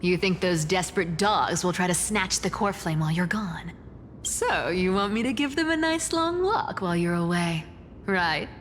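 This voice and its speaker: A woman's voice: lowish voice, expressive, moderate pace, slight background noise, natural voice. A woman playing a sly, teasing trickster demigod in a game, with a playful drawl. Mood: shrewd, teasing. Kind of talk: scripted game drama acting. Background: music.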